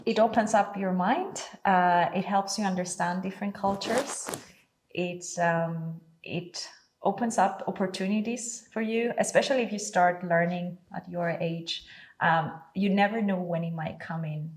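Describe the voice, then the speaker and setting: Lower-voiced woman. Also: unhurried, slight background noise. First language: Greek. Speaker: guest, orchestral musician. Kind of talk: answering interview questions.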